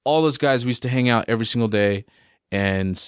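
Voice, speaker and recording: A young man chats loosely in a podcast interview, leaning on fillers like "you know" and "man". His voice sits in a middle pitch. It is recorded close and dry. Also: a little fast, smooth, no room sound. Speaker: musician guest. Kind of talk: casual interview chat.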